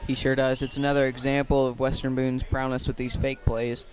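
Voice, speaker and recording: A man with an American accent calls a football game live for radio. His pitch stays fairly flat as he follows the action. He is a little halting, repeating and correcting himself as he goes. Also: low-quality recording. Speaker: play-by-play commentator. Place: outdoors at a football field.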